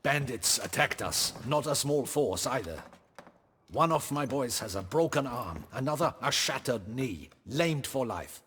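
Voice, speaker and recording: An actor playing a dutiful, weary captain of the guard in a video game, giving formal, earnest military reports. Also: male voice, medium pitch, lively intonation, unhurried, a bit bright, big-room sound, slight background noise. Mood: grave, reporting. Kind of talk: dramatic video game acting.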